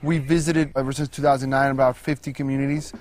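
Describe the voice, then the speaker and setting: A young man from Guatemala City, a solar startup co-founder, giving an earnest, measured documentary interview. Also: medium pitch, little pitch movement, darkish tone. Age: young adult.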